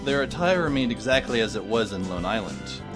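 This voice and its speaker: A man narrating an audio drama as a wry, deadpan teenage boy. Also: mid-range voice, fairly quick, music in the background, close to an everyday voice.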